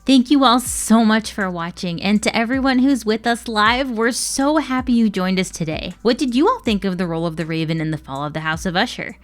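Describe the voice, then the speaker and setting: A woman's voice: medium pitch, fairly quick, hardly any pauses. A woman hosting a scripted video essay, speaking in an emphatic, opinionated and casually sweary way.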